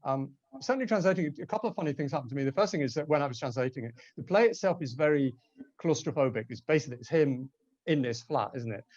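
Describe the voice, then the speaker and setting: Fast-talking man, dry dull recording. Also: mid-range voice, expressive, darkish tone, no room sound. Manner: wry, self-deprecating. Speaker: translator, panel guest. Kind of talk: conversational anecdote telling. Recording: dull, dry online call audio.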